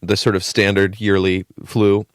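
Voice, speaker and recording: Low-voiced young man rambling casually. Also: little pitch movement, moderate pace, clear, resonant tone, no echo, clean studio sound. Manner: rambling, storytelling with fillers and profanity. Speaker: podcast co-host. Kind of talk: casual chat.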